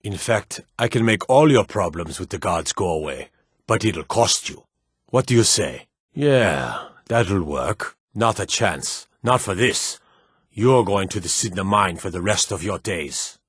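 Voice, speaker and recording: Gruff, low-voiced fantasy city guard. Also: male voice, lively intonation, measured pace, dry recording, studio quality, exaggerated voice. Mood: sly, conspiratorial, then contemptuous. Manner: gruff, authoritative, clipped threats. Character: stern, menacing fantasy city guard, weary and corruptible. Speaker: video game guard character. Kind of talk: scripted fantasy game voice lines.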